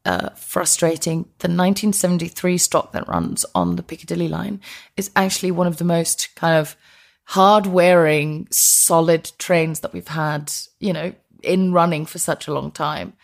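An Icelandic woman talks conversationally as an interview guest. Her voice sits a little low, with plenty of creak. She explains things in a chatty, enthusiastic and reflective way. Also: normal speed. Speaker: interview guest, transport historian.

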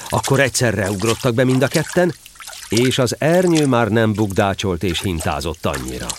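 A man narrating a scripted children's story in an expressive storytelling manner. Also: on the low side, slight background noise.

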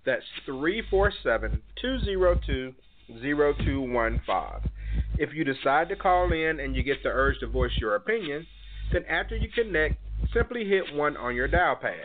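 A man's voice: a little high, expressive, faint music behind. Stuffy-nosed man hosting over a phone line. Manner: measured, earnest, with fillers. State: slightly stuffed up from a cold. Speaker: radio show host. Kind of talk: call-in radio show monologue. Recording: tinny phone-line sound.

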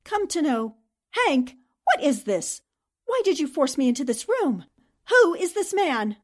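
A woman's voice: high voice, big pitch swings, measured pace. An actress playing a spirited, earnest newspaperwoman heroine in a theatrical 1940s-style radio drama. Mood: alarmed. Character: spirited, indignant female newspaper columnist heroine.

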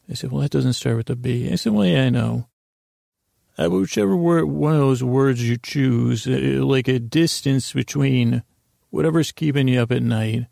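A man's voice: mid-range voice, darkish tone, no room sound, studio quality. A man hosting a sleep podcast, rambling and meandering and often cutting himself off mid-thought. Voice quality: gravelly, creaky voice. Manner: meandering, self-interrupting, drifts in pace. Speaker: podcast host. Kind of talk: rambling bedtime podcast talk.

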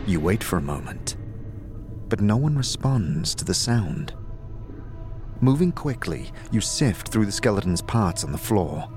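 A man's voice: fairly low pitch, very animated, unhurried, music in the background. A man reading scripted second-person narration for a fantasy audio drama.

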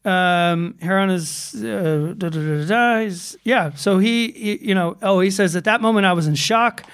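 A man with a high-pitched voice co-hosts a casual talk show. He recaps news stories in a loose, meandering way, full of fillers and restarts. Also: normal speed, a bit bright, clear, resonant tone. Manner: rambling with many fillers and restarts. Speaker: podcast co-host. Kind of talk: casual chat.

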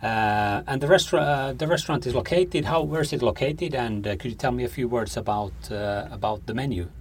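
A man's voice: mid-range voice, fairly quick, a little hiss or hum. A man hosting an interview podcast, presenting and putting questions to his guest.

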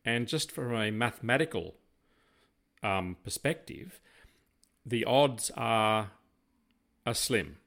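An older Australian man co-hosting a casual podcast, talking in a rambling, deliberate way. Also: medium pitch, vocal fry, fairly bright tone. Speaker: podcast co-host.

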